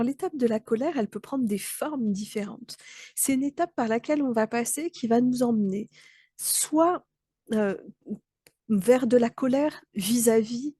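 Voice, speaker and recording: A woman's voice: on the high side, dark tone, no echo, clean studio sound. A woman mentor with a slight cold, explaining in a thoughtful, hesitant way with many fillers and restarts. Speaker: mentor and trainer. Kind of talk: explanatory talk to camera.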